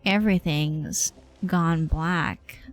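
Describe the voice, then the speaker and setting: Low-voiced, irreverent woman game streamer. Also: fairly even intonation, extremely slow. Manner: irreverent, sweary, playful. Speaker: game livestreamer. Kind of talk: casual reaction commentary, slangy.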